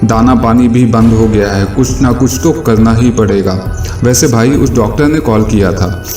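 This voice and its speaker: A man playing scheming village thieves in an animated children's moral story. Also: mid-range voice, measured pace, reverberant, faint music behind, lightly acted. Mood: worried.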